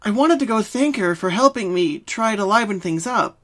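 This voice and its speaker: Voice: mid-range voice, expressive, moderate pace, a bit bright, voice pushed up, natural voice. A performer voicing a young female pink pony, sweet and earnest, with a timid, hesitant manner, in a story reading. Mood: recalling, earnest.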